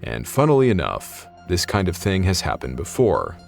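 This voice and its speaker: American male, deep voice, scripted documentary narration, host, loud music and vehicle noise behind. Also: huge pitch swings, normal speed, gravelly. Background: music, vehicle engine noise.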